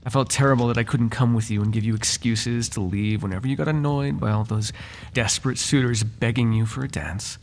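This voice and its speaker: A man's voice: mid-range voice, fairly even intonation, fairly quick, close to an everyday voice. A man playing a devoted, flirtatious butler in an intimate ASMR roleplay, speaking in formal, genteel phrases with playful teasing. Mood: concerned, guilty. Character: devoted, flirtatious, gossip-loving male butler to a noble.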